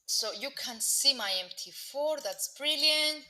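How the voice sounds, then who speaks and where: A young Greek Cypriot woman walks viewers through live market commentary in a very bright, crisp-toned voice. She hesitates often, filling gaps with ums and restarting phrases, and breaks off to address the audience directly. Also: high voice, expressive, a little slow, low-quality recording. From Cyprus. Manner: hesitant, many fillers and restarts, chatty asides to viewers. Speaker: market analyst presenting a live webinar.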